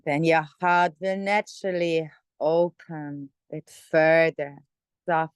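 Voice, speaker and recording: Woman teaching meditation, really slow and gentle, lowish voice, lots of pauses, dry close sound. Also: little pitch movement, smooth, dry recording. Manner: gentle, unhurried, with many pauses. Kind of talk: guided meditation. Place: online video call session.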